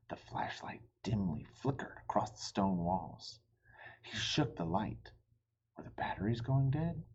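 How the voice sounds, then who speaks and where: Husky man reading a children's story dramatically. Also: mid-range voice, darkish tone, lo-fi sound. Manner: dramatic, suspenseful. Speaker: storybook reader. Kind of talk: expressive children's read-aloud narration.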